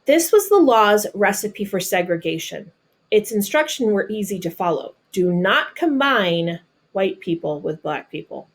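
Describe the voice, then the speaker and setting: A woman with an American accent reads a picture book aloud like a teacher, pausing to explain things simply and patiently to children. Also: medium pitch, very animated. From North Carolina, United States.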